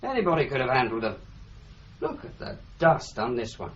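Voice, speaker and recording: An actor playing a blunt, grumbling police officer in a radio drama. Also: male voice, medium pitch, big pitch swings, fairly quick, fairly bright tone. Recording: dull lo-fi radio recording, faint hiss.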